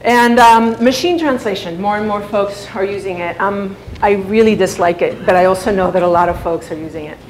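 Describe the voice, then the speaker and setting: A woman's voice: mid-range voice, brisk, hardly any pauses. A woman in her thirties, raised in Spain and Spanish-speaking from birth, giving a conference presentation in a chatty way with frequent fillers and restarts. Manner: conversational, many fillers and restarts. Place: large conference room. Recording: echoey conference room, faint hum.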